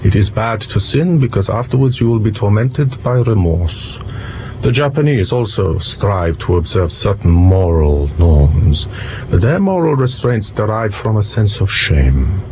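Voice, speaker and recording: A man playing a polite, precise young gentleman detective in an audio drama, courteous and measured. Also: on the low side, sing-song. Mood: earnest, explaining. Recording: muffled, noisy vehicle ambience behind.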